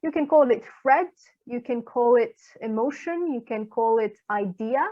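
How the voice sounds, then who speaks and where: A woman with a somewhat high voice hosts a podcast interview. She builds her questions slowly and carefully, clause by clause. The recording sounds muffled and lo-fi. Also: expressive. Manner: long, carefully built questions. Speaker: podcast host.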